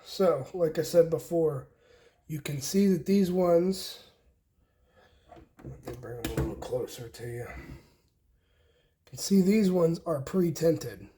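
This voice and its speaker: Slow-talking man giving a casual tutorial. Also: fairly high pitch, animated, warm tone. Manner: informal, step-by-step explaining in short pieces, often while working. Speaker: host of a DIY homestead channel, demonstrating wiring.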